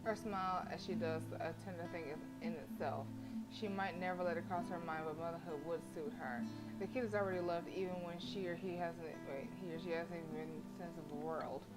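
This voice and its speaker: A woman's voice: fairly low pitch, fairly even intonation, brisk, loud music behind the voice, rough recording. A woman game streamer reading story narration aloud and reacting chattily and expressively on a livestream.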